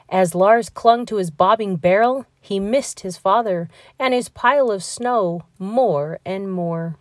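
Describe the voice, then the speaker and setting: A woman reads a children's storybook aloud in an expressive, deliberate storytelling manner. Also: mid-range voice, no room sound, studio quality. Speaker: storybook reader. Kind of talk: children's read-aloud narration.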